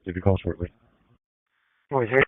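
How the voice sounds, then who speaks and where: A male police officer with a deep voice answers over the radio. His phrases are short and procedural, carried on a narrowband, tinny channel. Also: brisk, dry recording. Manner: terse, procedural. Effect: police radio transmission.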